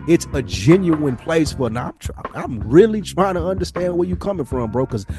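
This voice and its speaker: A man's voice: on the high side, expressive, normal speed, over music. Man talking emphatically on a podcast. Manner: emphatic, repeats and rephrases points, uses slang and profanity. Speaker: podcast co-host. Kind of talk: casual conversational podcast talk.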